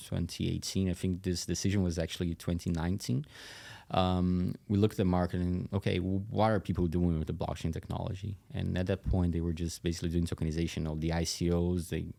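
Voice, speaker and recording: A man with a very low voice full of fry, explaining as a podcast guest. His delivery is conversational and halting, with a narrow melody that stays fairly even. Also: no echo. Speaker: company CEO interview guest.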